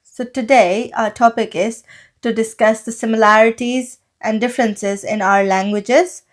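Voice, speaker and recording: A woman's voice: fairly high pitch, fairly even intonation, measured pace. Chatty Pakistani woman explaining at length. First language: Urdu. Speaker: podcast co-host. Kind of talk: casual conversational podcast.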